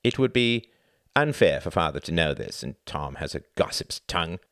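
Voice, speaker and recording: A man's voice: deep voice, big pitch swings, fairly bright tone, no echo, heavily acted. Man acting a smug, resentful grown son. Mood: conspiratorial. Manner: dry, deliberate, self-important.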